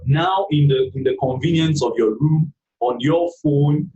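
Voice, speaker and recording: A man's voice: fairly high pitch, measured pace, mellow, roomy sound, recorded from a distance, lo-fi sound. A man teaching a live online class for beginner traders, patient and repetitive, checking in with "okay" all the time. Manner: patient, repeats points, frequent "okay" checks. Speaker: trading instructor.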